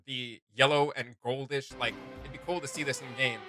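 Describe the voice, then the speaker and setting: A man's voice: medium pitch, fairly even intonation, normal speed, pushed and bright, soft background music. A man hosting a fan reaction show, giving casual, slangy and enthusiastic commentary.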